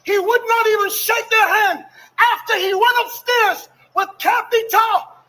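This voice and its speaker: High-pitched, shouting, indignant middle-aged man. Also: fairly even intonation, unhurried. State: agitated, angry. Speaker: defendant's father speaking out. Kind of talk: impassioned public denunciation.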